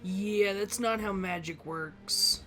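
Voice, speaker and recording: A woman's voice: mid-range voice, takes it slowly, a bit bright, faint music behind. Dry, sardonic woman gamer. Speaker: game player. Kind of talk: in-character gameplay commentary.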